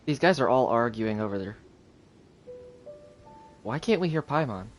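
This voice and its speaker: A male game streamer with an average-pitched voice talks casually and reacts aloud. Faint game music plays behind his informal commentary. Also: lively intonation, normal speed. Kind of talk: casual live gaming commentary.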